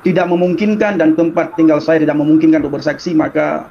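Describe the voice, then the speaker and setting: A man from Aceh with a fairly high voice tells his testimony to a host, earnest and repetitive, often restarting his words. The sound is dull and lo-fi, like a phone video call, with faint noise behind him. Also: quick pace, vocal fry. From Aceh, Indonesia. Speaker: guest giving a testimony. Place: small room indoors.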